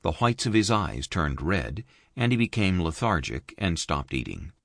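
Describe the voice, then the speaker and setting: A man reading an audiobook in a measured, expressive studio narration. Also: creaky, no echo. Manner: measured, expressive reading with wide pitch movement. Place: dry recording booth. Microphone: close studio mic.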